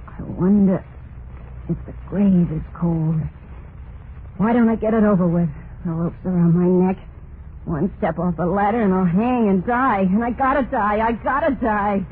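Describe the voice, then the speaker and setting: An actress playing a tormented, murderous young wife in a vintage radio horror play, confessing in a brooding, repetitive monologue with plain folksy speech. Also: female voice, lowish voice, a little slow, long pauses, narrow, tinny audio, close to an everyday voice. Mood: despairing, frightened. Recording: noisy, band-limited vintage radio recording.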